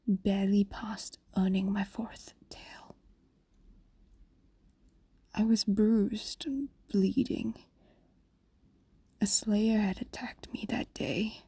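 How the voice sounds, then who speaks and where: Woman voicing a slow, whispering, possessive fox demoness. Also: medium pitch, no echo, exaggerated voice. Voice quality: soft, breathy whisper. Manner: intimate, slow, pausing, coaxing. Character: possessive, lovestruck female fox demon, centuries old, tender. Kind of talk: ASMR-like fantasy audio roleplay.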